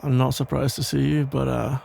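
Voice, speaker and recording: A man playing a protective, worried police officer and ex-boyfriend in an ASMR audio roleplay, talking in a halting, casual, gently exasperated way with a gravelly voice. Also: medium pitch, little pitch movement, a little hiss or hum. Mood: concerned, relieved. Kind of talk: ASMR roleplay, conversational one-sided acting.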